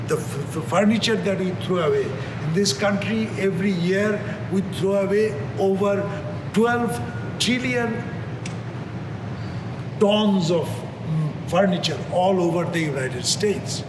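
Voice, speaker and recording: A middle-aged man from Bangladesh speaks with earnest passion, restarting his sentences as he goes. His voice sits a little high for a man. He is heard from a distance in a large echoing hall, with steady background noise. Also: unhurried. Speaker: charity executive director. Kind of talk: interview answers. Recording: echoey hall, far from the mic, noisy.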